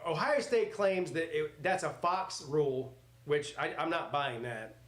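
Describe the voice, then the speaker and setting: Man, forties, fairly high-pitched voice, sports stream host, casual commentary, blunt, joking, rambling. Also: unhurried, fairly bright tone. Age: 40s. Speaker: host of a live sports watch party. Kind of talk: casual livestream chat with play-by-play commentary.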